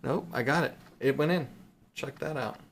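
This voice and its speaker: A man's voice: medium pitch, moderate pace. A man chatting casually and unscripted as a video host showing off items, rambling with mildly playful exclamations. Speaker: video host showing silver bullion purchases. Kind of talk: casual unscripted show-and-tell chat.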